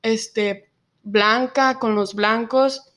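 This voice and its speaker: A nineteen-year-old woman from Mexico with a Mexican Spanish accent, telling her own story in an interview and pausing often on fillers. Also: fairly high pitch, fairly even intonation, fairly bright tone. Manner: reflective, many filler pauses. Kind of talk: personal testimony in an interview.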